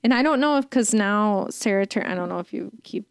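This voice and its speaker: A woman's voice: mid-range voice, lively intonation, a little fast, creaky, clear, resonant tone, dry recording, studio quality. A woman co-hosting a casual pop culture podcast, chatting in a rambling way full of "like". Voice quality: calls her own voice dull. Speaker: podcast co-host. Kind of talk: casual chat.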